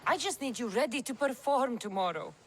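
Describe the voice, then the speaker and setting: An actress playing a bold, determined mercenary in a video game cutscene, with a firm, direct manner. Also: female voice, a little high, animated, a little fast. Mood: exasperated. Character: bold, commanding, determined female mercenary adventurer.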